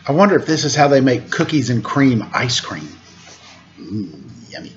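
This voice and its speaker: A man in his forties reading a picture book aloud to children in a deliberate sing-song way, with casual asides. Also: mid-range voice, sing-song, music in the background. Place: indoor room at home. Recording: dull lo-fi webcam audio with noise.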